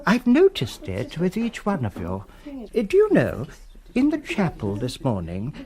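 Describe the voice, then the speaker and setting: Kindly priest, halting, stammering, swooping pitch. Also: male voice, huge pitch swings, a little mellow. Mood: hesitant, concerned. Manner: hesitant, halting, full of uh's. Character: kindly, hesitant older priest.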